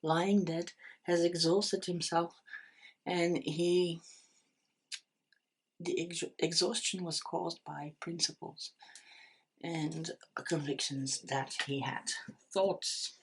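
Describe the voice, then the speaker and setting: A middle-aged woman with a Dutch accent reads tarot cards to camera. Her voice is husky and sits a little low, and she speaks very slowly. She hesitates often, restarting words and filling pauses with "um". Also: steady tone, poor audio. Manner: slow, hesitant, with many fillers and restarts. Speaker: tarot reader. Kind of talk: unscripted tarot reading to camera.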